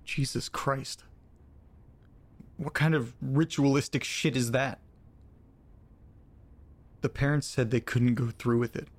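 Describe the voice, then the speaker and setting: A man playing a troubled, profane reader of strange letters in an audio drama, speaking in a deliberate storytelling way. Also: mid-range voice, fairly even intonation, natural voice. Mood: shaken, curious.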